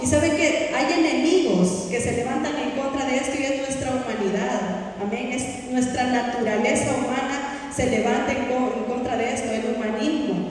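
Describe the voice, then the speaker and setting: A woman pastor preaching a sermon, drawing out her Bible readings slowly, recorded in a church hall from some distance. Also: high voice, a little hiss or hum. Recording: echoey hall, voice from far off.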